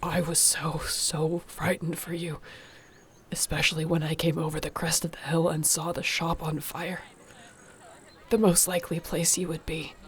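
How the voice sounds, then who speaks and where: A woman playing a protective, tender orc warrior girlfriend in an intimate audio roleplay, speaking in a soft, earnest way. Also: deep voice, flat delivery, normal speed, lightly acted. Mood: anxious, loving. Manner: soft, earnest, soothing, partly whispered.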